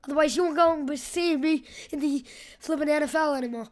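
High, scratchy-voiced young female gamer. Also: brisk. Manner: rambling, slangy. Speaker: gaming video host. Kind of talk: casual gameplay commentary.

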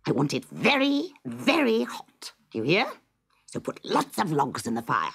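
Shrill cackly old witch, snappy and mean, storybook reading. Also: wildly swooping pitch, a little slow, creaky, a bit bright, breathy edge, full character voice. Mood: snapping. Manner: shrieking, snapping, gloating. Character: cackling short-sighted old female witch, cruel.

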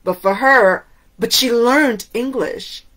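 A woman's voice: fairly high pitch, slow pace, fairly bright tone, poor audio. A middle-aged woman from Cuba whose first language is Spanish, preaching a sermon to camera in an emphatic way, asking many rhetorical questions. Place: indoors in front of a waterfall backdrop.

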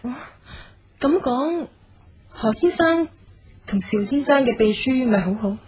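A woman's voice: high voice, lively intonation, slow pace, a little mellow, husky, exaggerated voice. A woman playing a guarded, polite young interior designer in a radio drama, with a Hong Kong Cantonese accent. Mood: polite, probing. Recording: radio drama with music underneath.

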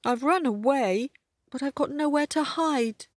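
A woman's voice: sits high, unhurried, dry recording, clean studio sound, voice pushed up. A woman voicing a sad old female tabby cat in a storybook, with a mournful, meowing manner. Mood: self-pitying. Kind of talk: storybook character voice.

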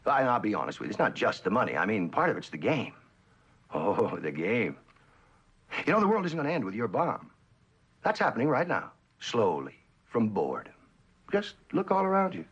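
A suave, wildly swooping cynical spy. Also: male voice, on the low side, huge pitch swings, fairly bright tone, breathy edge, exaggerated voice. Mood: musing, cynical. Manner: theatrical, sly, musing with dramatic pauses and chuckles. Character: suave, cynical spy, a traitorous best friend who loves the game. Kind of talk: mid-century American TV drama acting. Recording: dull old television soundtrack.

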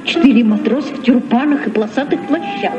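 An actor playing an eager, excitable young captain in a Soviet children's radio play. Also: male voice, extremely high pitch, quick pace, over music. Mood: curious. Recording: dull old radio recording.

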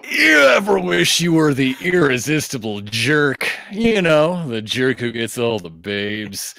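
A man's voice: mid-range voice, big pitch swings, a little slow, creaky, sharp tone, no room sound, studio quality. A man reading aloud on a podcast, adding joking asides. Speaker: podcast reader.

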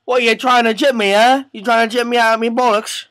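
A man's voice: extremely high pitch, little pitch movement, brisk, a bit bright, dry recording. A man voicing a pushy, hesitant British immigrant on a prank phone call, with a put-on accent and wheedling, rambling manner. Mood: pushy, indignant.

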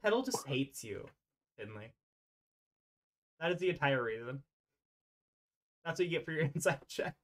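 A game master narrating an improvised tabletop roleplay over an online voice call, often pausing mid-sentence. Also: medium pitch, big pitch swings.

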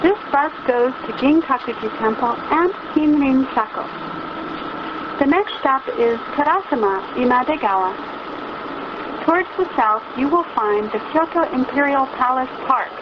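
Female tourist-route announcer, measured scripted read, high voice, lo-fi recording from inside a noisy vehicle. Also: lively intonation. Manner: measured, formal. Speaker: bus announcer for foreign tourists. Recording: muffled recording inside a noisy moving bus. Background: loud vehicle noise.

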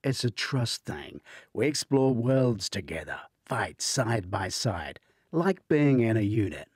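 Man playing stubborn, husky tech colleague. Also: on the low side, big pitch swings, slow, darkish tone, dry recording, studio quality, voice pushed up, heavily acted. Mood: earnest. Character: stubborn, earnest male tech colleague, defensive.

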